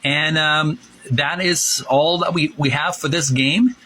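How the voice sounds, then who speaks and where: A man hosting informal video commentary, halting as he goes and drawing out key words for emphasis. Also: fairly high pitch, steady tone, sharp tone. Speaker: host of a retro gaming channel. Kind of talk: informal video commentary with read-aloud passages.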